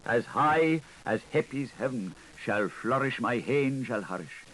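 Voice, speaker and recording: A man's voice: mid-range voice, expressive, measured pace, fairly bright tone, low-quality recording. Man voicing a pompous, solemn storybook ant. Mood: solemn praying. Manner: pompous, declaiming. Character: pompous, solemn, self-righteous male ant, thrifty and haughty. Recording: 1980s audiobook recording with audible background noise.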